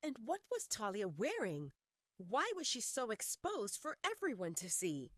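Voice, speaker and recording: A woman's voice: fairly high pitch, huge pitch swings, unhurried, a bit bright, no echo, studio quality, heavily acted. A woman voicing a jealous, irritable male werewolf alpha in an audio romance drama. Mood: jealous outrage.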